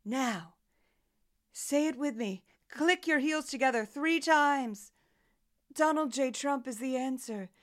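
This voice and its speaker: A woman's voice: sits high, slow pace. A woman putting on a parody of a smug, self-deluded female politician hosting a party, emphatic and insistent, in a satirical radio play. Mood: commanding.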